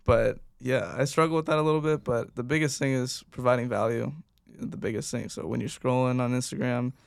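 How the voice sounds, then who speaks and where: A man's voice: medium pitch, steady tone, fairly quick, vocal fry, clear, resonant tone, no room sound, studio quality. A young man who is a personal trainer, answering interview questions in an earnest, agreeable way. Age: young adult. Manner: earnest, agreeable, frequent "yeah".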